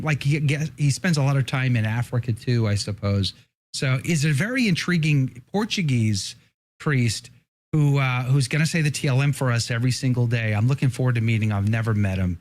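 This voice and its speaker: A man hosting a casual interview, rambling and repeating words as he restarts. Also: mid-range voice. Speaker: show host.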